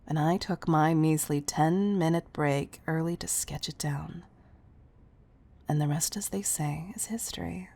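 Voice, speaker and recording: A woman playing a commanding but fond CEO in an intimate roleplay audio, speaking softly and deliberately to the listener, often near a whisper. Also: low-pitched. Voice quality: breathy, soft. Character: commanding, tough-loving female CEO, fond underneath.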